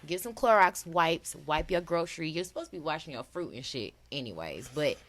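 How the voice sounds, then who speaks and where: A thirty-year-old woman from hosting a casual podcast chat, loud and laughing. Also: sits low, expressive, a little fast. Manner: loud, laughing, slangy banter. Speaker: podcast host.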